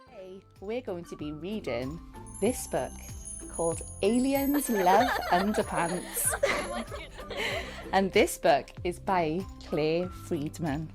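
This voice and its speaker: A woman reading a picture book aloud to young children, expressive and speaking straight to her listeners. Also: on the low side, slow. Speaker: storyteller and teacher reading to children. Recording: music under the voice. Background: music and sound effects.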